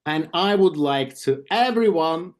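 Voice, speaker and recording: A man with a Ukrainian accent reads a club ceremony script over a video call. He goes at an unhurried pace, stopping for asides and small corrections along the way. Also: on the high side, animated. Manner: slow, careful reading with asides and self-corrections. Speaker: club vice president of membership. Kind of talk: reading an induction ceremony script at an online club meeting.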